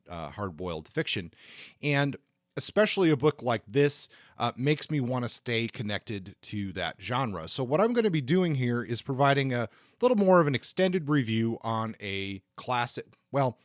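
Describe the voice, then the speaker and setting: A man's voice: mid-range voice, dry recording. A man with a mid-range voice talks as a podcast host. His delivery is casual and a little hesitant as he works through his thoughts, and the sound is dry, with little room to it. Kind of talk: casual podcast talk and book review.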